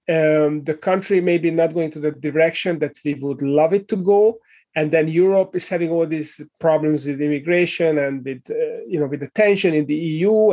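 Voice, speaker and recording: A man's voice: on the high side, a little mellow. A middle-aged man with a Hungarian accent, a guest answering interview questions in a thoughtful way with many fillers and restarts. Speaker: guest, leadership coach and author.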